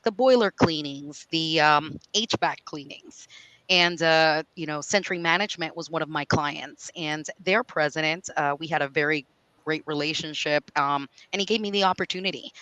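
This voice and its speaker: A woman's voice: deep voice, pushed and bright, dry recording, poor audio. A woman from New York, a guest on a video call interview, talking in an upbeat, chatty way with frequent fillers.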